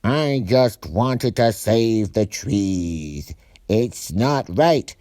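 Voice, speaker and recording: A man voicing a young male thief who pleads slowly and earnestly in a storybook reading. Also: fairly low pitch, animated, mellow, dry recording, clean studio sound, voice pushed up. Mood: pleading.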